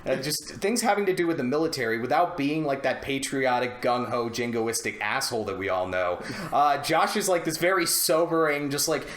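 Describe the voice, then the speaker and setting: A man chatting casually on a movie podcast, telling rambling, animated stories with plenty of swearing. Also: mid-range voice, a little fast, hardly any pauses. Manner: animated, profane, rambling storytelling. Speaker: podcast co-host. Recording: roomy, echoey indoor sound.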